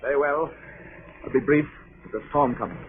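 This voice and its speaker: An actor playing a troubled, stern ship's captain with a grave, clipped delivery in a 1930s radio drama. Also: male voice, mid-range voice, brisk, phone-quality sound, natural voice. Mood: tense, curt. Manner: grave, clipped, hesitant under pressure. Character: troubled male ship's captain, stern but haunted by conscience. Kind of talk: 1930s radio drama acting and first-person narration. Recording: thin, noisy 1930s radio broadcast.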